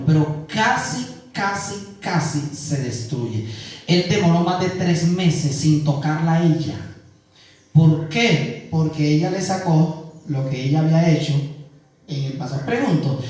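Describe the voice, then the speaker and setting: A man's voice: on the high side, animated, measured pace, darkish tone. A middle-aged man with a Colombian Caribbean coast accent preaching a sermon as pastor, earnest and colloquial, asking the congregation questions. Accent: Colombian Caribbean coast (costeño). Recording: very echoey church hall, mic far off.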